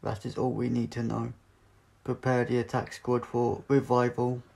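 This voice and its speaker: A man voicing a merciless metallic robot leader in an audiobook, giving stiff, booming commands. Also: level tone, normal speed, gravelly, dark tone. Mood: cold, commanding.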